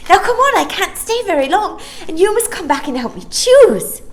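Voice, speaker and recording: Woman voicing an excitable, high-pitched little girl. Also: moderate pace. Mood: delighted. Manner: breathless, exclaiming, gushing delight. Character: bright, excitable little star-child girl, delighted and bubbly. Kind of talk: children's storybook character voice.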